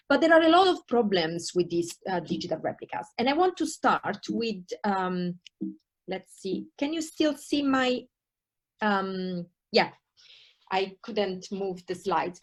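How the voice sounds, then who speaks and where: A woman in her thirties from Italy with an Italian accent, giving an online university taster lecture with many hesitations and fillers. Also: medium pitch. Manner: hesitant, many ums and uhs, restarts.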